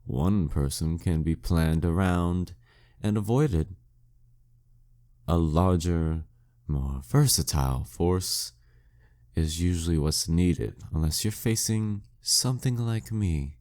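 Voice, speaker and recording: A man's voice: low voice, darkish tone. A man playing a proud, dominant demon king in an audio roleplay, teasing and condescending in an intimate scripted monologue. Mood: mocking, teasing. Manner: slow, teasing, condescending, smugly theatrical. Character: dead male demon king, taunting, dominant, proud former nemesis.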